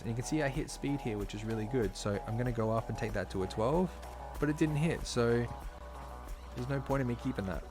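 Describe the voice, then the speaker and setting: A man talking casually while live-streaming a game, slightly hoarse, chatty and full of fillers, from a home streaming setup. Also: mid-range voice. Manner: chatty, filler-heavy, talks while playing. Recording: clear background music under voice.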